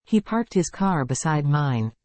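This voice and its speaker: Mellow voice reading English examples. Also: mid-range voice, big pitch swings, no room sound. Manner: clear, measured reading of short sentences. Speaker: English teacher. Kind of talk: reading example sentences aloud.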